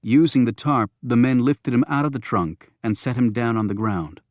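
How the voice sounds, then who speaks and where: A man's voice: medium pitch, normal speed, a little mellow, dry recording, studio quality. A man narrating a thriller audiobook from a script.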